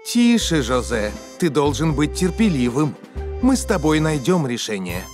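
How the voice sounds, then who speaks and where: An actor playing a patient, helpful companion in a preschool cartoon, talking in an instructive, coaxing way. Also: male voice, fairly high pitch, very animated, normal speed, darkish tone, faint music behind.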